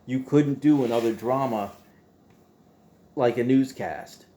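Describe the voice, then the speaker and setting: Male host, middle-pitched and mellow, for a casual opinionated monologue; conversational, rambling delivery with rhetorical emphasis. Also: slow, slight background noise. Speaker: host of a show about old radio.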